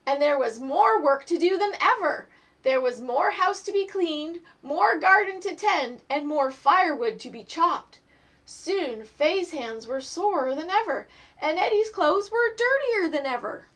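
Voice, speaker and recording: Casting: female storytime host and reader, high voice, expressive delivery for young children. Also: a little slow. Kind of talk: live children's storytime reading.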